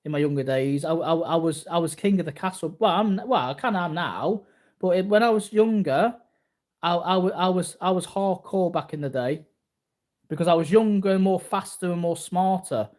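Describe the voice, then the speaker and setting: A man's voice: high-pitched, moderate pace, a little mellow. A man in his early forties talking casually to viewers in a rambling, repetitive, boastful way. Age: early 40s. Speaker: martial arts vlogger.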